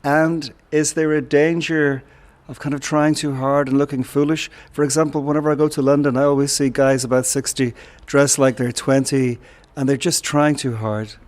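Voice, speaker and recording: A man's voice: medium pitch, little pitch movement, normal speed. A man interviewing a guest, putting his questions and remarks in a conversational way.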